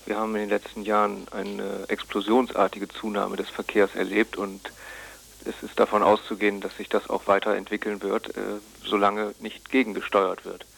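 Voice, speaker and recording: A man's voice: on the low side, monotone, normal speed, vocal fry, fairly bright tone, slightly rough. A man giving explanatory answers in an interview as an expert guest. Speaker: traffic expert, phone interviewee.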